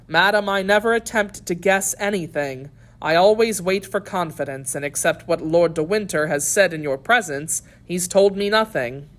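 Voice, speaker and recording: A woman's voice: on the low side, normal speed, hardly any pauses, close to an everyday voice. A woman reading the part of a stern, devout male officer in a formal, solemn audiobook delivery. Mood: earnest. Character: stern, pious young male Puritan officer. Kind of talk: dramatic audiobook character reading.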